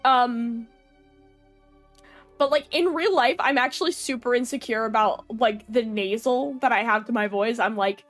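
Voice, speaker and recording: A woman's voice: on the high side, moderate pace, fairly bright tone, faint music behind. A young woman streamer chatting casually with her viewers, her voice nasal and stuffy from a runny nose, full of fillers and giggles. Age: young adult.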